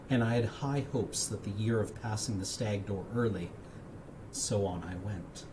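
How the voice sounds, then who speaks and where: A man's voice: lowish voice, dark tone, close to an everyday voice. A man reading as a solemn, fearful dreamer, noisy recording. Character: solemn, fearful dreamer writing from occult society notebooks. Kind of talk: dramatic literary reading.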